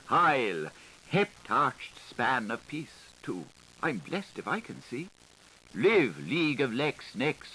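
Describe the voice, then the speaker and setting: A man reading literary prose aloud as an audiobook narrator, in a playful, declamatory, theatrical way with deliberate phrasing, on a 1980s recording. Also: mid-range voice, sharp tone, slight background noise, low-quality recording.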